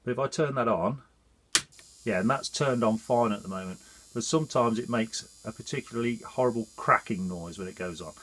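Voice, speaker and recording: A man's voice: fairly low pitch, brisk, fairly bright tone. A man with a blocked nose from a cold, chatting through a step-by-step DIY job on his narrowboat. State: congested with a cold. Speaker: vlogger. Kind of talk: casual DIY vlog.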